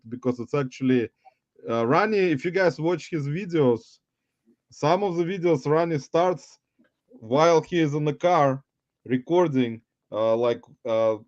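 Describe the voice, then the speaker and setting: A man's voice: medium pitch, a little mellow, dry recording, muffled recording. A man in his forties from Uzbekistan with a Russian accent, hosting a casual livestream chat and rambling to his viewers. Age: 40s. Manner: rambling, halting, addresses viewers as guys. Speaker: livestream host.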